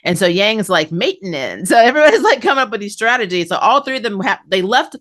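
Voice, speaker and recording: A woman's voice: medium pitch, sing-song, quick pace, fairly bright tone. A woman hosting a casual anime review, talking conversationally with chuckles between phrases. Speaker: host of an anime review channel. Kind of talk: casual episode review commentary.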